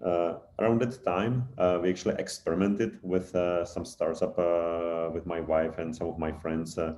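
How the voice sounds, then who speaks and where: A deep-voiced man in his thirties with a Czech accent talks over a video call. His delivery stays flat and hesitant, full of fillers and restarts, and the audio has a roomy echo. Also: moderate pace, a little hiss or hum. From Czech Republic. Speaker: guest speaker, engineer. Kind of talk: online info session talk.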